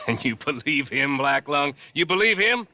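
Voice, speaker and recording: An American actor playing a sneering, scheming outlaw gunslinger with a mocking drawl in a video game cutscene. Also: male voice, fairly high pitch, big pitch swings, a little slow, pushed and bright, slight background noise, full character voice.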